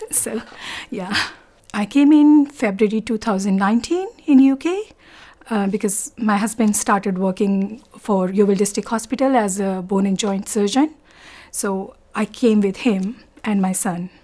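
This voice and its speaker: A woman in her forties from India with an Indian accent, telling her story in an interview, softly and haltingly, often pausing to search for words. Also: medium pitch. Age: 40s. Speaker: interviewee, doctor.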